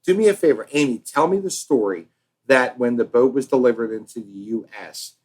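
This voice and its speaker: Man, middle register, casual host, animated, interjecting. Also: medium pitch, fairly quick. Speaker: podcast host.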